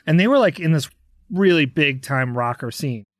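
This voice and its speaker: An American man, a musician being interviewed, telling anecdotes in a casual, animated way and acting out the dialogue. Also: fairly high pitch, normal speed, no echo, clean studio sound. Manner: conversational, acts out quoted dialogue. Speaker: interview guest, rock drummer.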